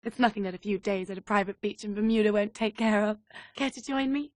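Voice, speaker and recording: A woman's voice: mid-range voice, fast, dry recording, natural voice. A woman playing a glamorous, flirtatious, plucky companion to a spy in a video game. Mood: playful, flirtatious. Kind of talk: cinematic video game acting.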